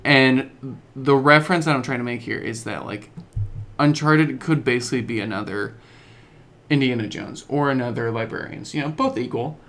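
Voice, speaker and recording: A young man co-hosting a podcast, chatting casually and excitably in halting, stop-and-start thoughts. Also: medium pitch, slight background noise. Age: young adult. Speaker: podcast co-host. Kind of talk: casual chat about films and games.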